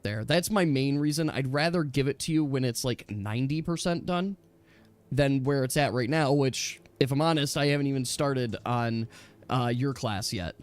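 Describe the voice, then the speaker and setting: A man's voice: medium pitch, normal speed. A man chatting casually as a game master, with an enthusiastic, rambling way of explaining things to his players.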